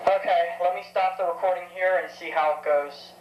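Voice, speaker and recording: A woman's voice: lowish voice, steady tone, brisk, lo-fi sound. A seventeen-year-old young woman giving a casual, unscripted equipment demonstration, hesitant and thinking aloud. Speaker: vintage tech hobbyist demonstrating a tape recorder. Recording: roomy echo with faint background noise.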